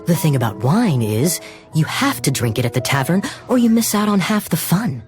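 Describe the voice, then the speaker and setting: Low, suave, needling drinker. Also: female voice, sing-song, normal speed, music in the background. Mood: teasing. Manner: suave, teasing, barbed politeness. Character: sly, needling tavern drinker with elegant airs. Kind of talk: video game voice acting.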